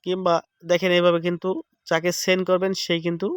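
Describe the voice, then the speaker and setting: A man's voice: high voice, completely flat delivery, fast, clear, resonant tone, no room sound, studio quality. A man hosting a step-by-step screen tutorial. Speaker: tech tutorial host.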